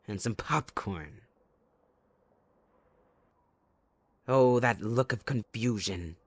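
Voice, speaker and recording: Theatrical, sadistic villain, wildly swinging pitch. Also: male voice, slightly rough, no echo, full character voice. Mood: gloating. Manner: theatrical, mocking, drawn-out menacing delivery swinging between soft and loud. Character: sadistic, gleeful male supervillain kidnapper taunting his captive sidekick. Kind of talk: theatrical ASMR audio roleplay.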